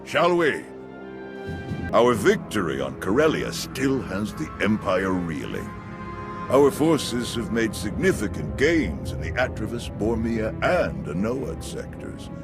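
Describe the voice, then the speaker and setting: A confident military commander for a video game. His low voice moves expressively through formal, measured phrases, composed throughout, with music playing clearly behind him. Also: very animated, heavily acted. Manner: formal, measured briefing delivery. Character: composed, confident male military task force leader. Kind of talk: video game cutscene acting.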